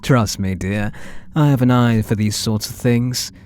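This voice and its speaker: A British man playing an obsessive, possessive artist in love, speaking softly and breathily with tender menace in an ASMR roleplay. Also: mid-range voice, slight background noise, close to an everyday voice. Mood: adoring, intimate. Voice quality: soft and breathy, often dropping to whispers. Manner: tender, slow, menacingly intimate.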